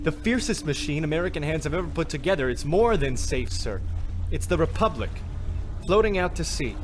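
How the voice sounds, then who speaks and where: An actor playing a young, dutiful navy lieutenant who speaks formally and earnestly. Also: male voice, fairly high pitch, expressive, fairly quick, lightly acted. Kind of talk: amateur radio drama. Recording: music underneath.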